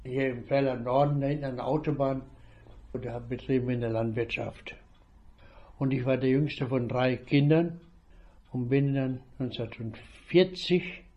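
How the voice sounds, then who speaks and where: Elderly man in his eighties, slow and halting. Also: medium pitch, fairly even intonation, dark tone, muffled recording. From northwest Germany. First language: Low German. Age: 80s. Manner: slow, halting storytelling with restarts. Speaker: retired veterinarian. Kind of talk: reminiscing about childhood.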